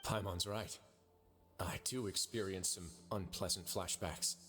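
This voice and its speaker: A man voicing a composed, wry duke in a video game, with a measured, courteous and dryly amused manner. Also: low-pitched, fairly even intonation. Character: composed, wry adult male duke in authority. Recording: music behind the voice.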